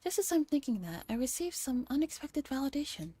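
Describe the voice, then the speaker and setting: A soft-spoken woman streaming a gentle read-aloud in an ASMR style, sometimes slipping into breathy near-whispers. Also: sits high, very animated, brisk, no room sound. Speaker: streamer reading a visual novel aloud.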